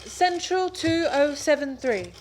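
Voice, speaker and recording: A flustered young wife with a high voice, fussing and fretting over everything at once. Her lines come in the anxious, fluttering manner of a 1930s radio play. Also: animated, slow, darkish tone, slight background noise.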